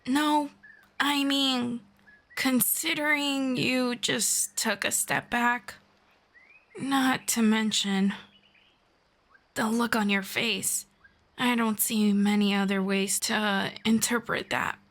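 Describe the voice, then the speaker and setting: A woman playing a friendly, calm forest elf in an ASMR fantasy roleplay, speaking in a measured, playful, gently sarcastic way. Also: fairly high pitch. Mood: cautious, wry. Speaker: roleplay voice performer.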